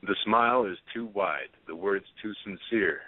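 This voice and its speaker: A man narrates a play's stage directions from a script. His voice is fairly low. The sound is dry, with a narrow telephone-like band. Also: normal speed, no echo.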